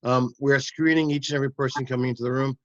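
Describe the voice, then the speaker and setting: Man hosting, brisk, dry muffled audio. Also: medium pitch, fairly even intonation, a bit bright, no echo. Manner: brisk, apologetic, organizing. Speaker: host. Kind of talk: moderating a video call interview. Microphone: video call. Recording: dry dull call audio.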